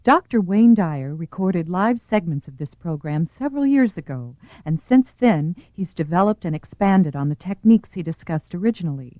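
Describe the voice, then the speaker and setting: A woman's voice: on the low side, expressive, normal speed, few pauses, no echo. Woman narrator, tinny band-limited sound. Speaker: program announcer. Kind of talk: scripted promotional narration.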